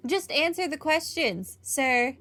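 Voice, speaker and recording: A woman's voice: high voice, animated, slow, fairly bright tone, soft background music. A woman giving casual, wry playthrough commentary as she hosts a gaming video. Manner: wry, informal.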